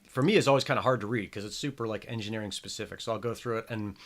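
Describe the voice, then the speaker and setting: A man's voice: fairly low pitch, rapid-fire, fairly bright tone. A man hosting a casual podcast chat, rambling and chatty with plenty of filler words. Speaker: show host.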